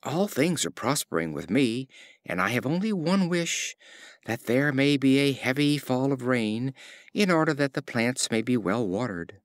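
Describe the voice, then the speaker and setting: A married daughter from a storybook, contented but wishful, put on by a male narrator. The pitch is very lively. The sound is dry and close. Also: a little slow, dry recording, natural voice.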